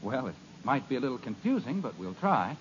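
An actor playing a formal, deferential chauffeur, in an old radio comedy. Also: male voice, mid-range voice, big pitch swings, a little fast, slight background noise, natural voice. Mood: apologetic. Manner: stiff, deferential. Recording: muffled old radio broadcast.

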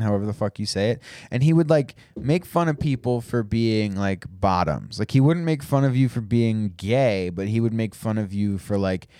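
A man's voice: fairly low pitch, darkish tone, dry recording, clean studio sound. A man co-hosting a podcast, rambling in casual, profane chat with frequent restarts. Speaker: podcast co-host.

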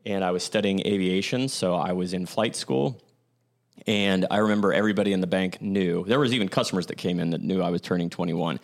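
Low-voiced young man telling stories. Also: brisk, gravelly. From Ohio, USA. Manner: rambling, self-correcting, lots of "like" and "um". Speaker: podcast host. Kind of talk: casual storytelling monologue.

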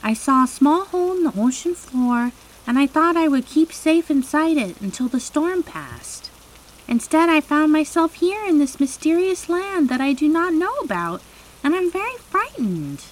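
A woman's voice: high voice, very animated, moderate pace, voice pushed up, rough recording. A woman voicing a sweet, timid young mermaid in a children's storybook, earnest and girlish. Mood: frightened, earnest. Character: frightened, sweet young mermaid lost from home.

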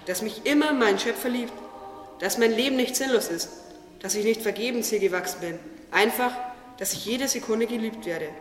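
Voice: mid-range voice, moderate pace, lightly acted. Actor doing a curious innocent tree for a radio play, earnest, kinda distant and echoey. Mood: grateful, happy. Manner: earnest, questioning. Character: naive, curious talking tree, humble and eager. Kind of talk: religious radio play acting. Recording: echoey, distant, long reverb, music behind.

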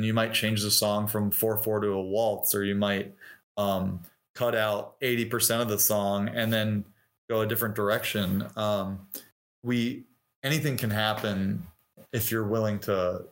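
Middle aged guy, lowish voice, musician chatting casually in an interview, talks a lot and acts out little quotes. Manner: informal and talkative, voicing imagined quotes. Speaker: interview guest, touring musician and singer. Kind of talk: casual conversational interview, explaining craft.

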